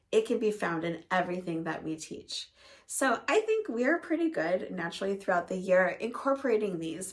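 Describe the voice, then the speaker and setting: A middle-aged woman from Northern Indiana, a music teacher, talking softly to camera in a hoarse voice from a cold. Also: medium pitch. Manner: soft, quiet delivery.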